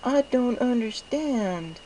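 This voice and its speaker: A man's voice: very high-pitched, expressive, slow, dark tone, a little hiss or hum, pitched above the performer's own voice, heavily acted, low-quality recording. A man voicing a dazed, grateful young female pegasus with a hesitant, childlike manner in a storybook reading. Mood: shy confusion.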